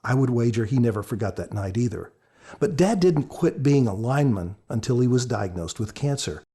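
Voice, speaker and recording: A man with a husky voice, an author giving earnest, measured, reflective commentary on a story. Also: medium pitch, animated, warm tone. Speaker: author and podcast guest commentator.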